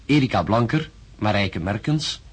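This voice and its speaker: A man reading radio drama end credits in a formal, deliberate, list-like manner. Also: fairly low pitch, expressive, husky. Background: music.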